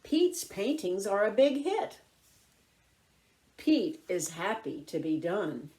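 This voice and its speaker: Female children's story reader: expressive picture-book narration, slow and deliberate, highly varied intonation. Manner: expressive, deliberate, clearly phrased.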